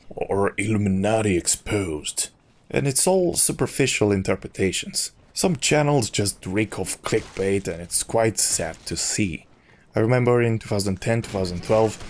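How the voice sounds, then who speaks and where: A man's voice: on the low side, a little mellow, slight background noise. A man speaking candidly and with opinions, in a personal vlog monologue to his subscribers as a YouTuber. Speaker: YouTuber who makes game videos.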